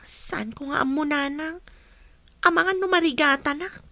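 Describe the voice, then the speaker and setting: Radio-play daughter, teens, fretful and unsure, very high voice, dry studio sound. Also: female voice, normal speed, bright, forceful tone, dry recording. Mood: discouraged, anxious. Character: teenage daughter, doubtful and anxious about her schooling. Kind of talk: audio drama acting.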